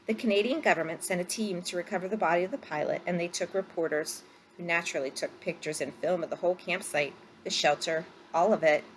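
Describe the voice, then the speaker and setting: A woman narrating a story aloud from a script, phrasing it deliberately for drama. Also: fairly low pitch, a little hiss or hum, poor audio.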